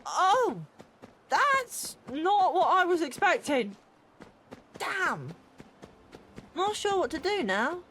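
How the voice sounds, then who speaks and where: A woman's voice: very high-pitched, big pitch swings, takes it slowly, long pauses, a bit bright, slight background noise, full character voice. A woman voicing a plucky, cheeky young street boy with an English accent in a video game. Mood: uncertain. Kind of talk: video game companion dialogue.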